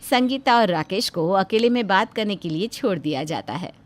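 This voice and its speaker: A woman reads a dramatic story as its narrator. Her voice sits at a middle pitch and swings expressively through the scripted telling. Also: expressive, moderate pace.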